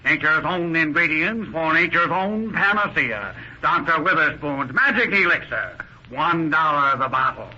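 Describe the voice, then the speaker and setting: An actor playing a medicine-show huckster, husky and declaiming his patter in a 1930s radio drama. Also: on the high side, animated, bright, forceful tone, exaggerated voice. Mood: hawking, grandiose. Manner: declaiming, drawn-out salesman's patter. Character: brassy medicine-show huckster hawking an elixir. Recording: dull 1930s radio recording.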